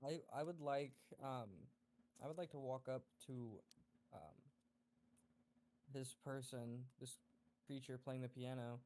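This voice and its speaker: A man playing a tabletop game, improvising his character's lines casually in a halting, hesitant way. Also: medium pitch, flat delivery, a little mellow.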